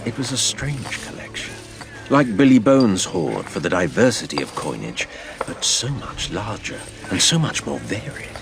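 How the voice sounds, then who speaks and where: Deep, creaky old seafarer. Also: male voice, over music. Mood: reflective storytelling. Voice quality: low, gravelly. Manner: measured, literary, expressive. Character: older seafaring man telling his past adventure to a boy, wry and fond. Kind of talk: dramatised storytelling narration.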